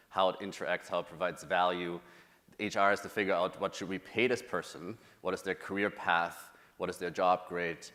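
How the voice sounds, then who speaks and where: A man in his thirties with a German accent speaks to a conference crowd. His voice sits fairly low, and he talks loosely, with fillers and restarts. A long reverb rings around him in a big hall. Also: fairly bright tone. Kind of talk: conference talk.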